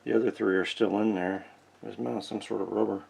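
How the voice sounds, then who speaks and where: A man's voice: on the low side, steady tone, fairly quick, creaky, a little mellow, slightly rough, poor audio. A man giving casual, folksy, unscripted narration as he works with his hands, thinking aloud as he goes. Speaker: host of a vintage radio repair channel. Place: indoor workshop.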